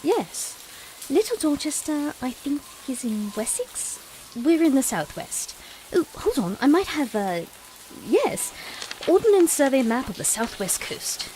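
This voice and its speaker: Actress as whimsical time-traveller, higher female voice, very animated, wry, observant, audio drama, faint rainy noise. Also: unhurried, low-quality recording. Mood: jolly, brisk.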